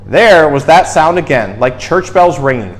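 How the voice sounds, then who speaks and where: A man with a slightly high voice reads a children's story aloud. His delivery is very animated, swinging up and down in pitch with dramatic emphasis on key words. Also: measured pace. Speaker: storyteller and zookeeper host.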